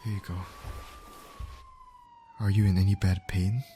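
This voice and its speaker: Guy playing a caring army-style field medic, deepish voice, reassuring asmr roleplay, noisy siren ambience behind. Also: fairly even intonation, measured pace, dark tone. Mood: soothing, concerned. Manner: reassuring, talking directly to an injured patient. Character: male field medic, calm, caring, urgent under pressure.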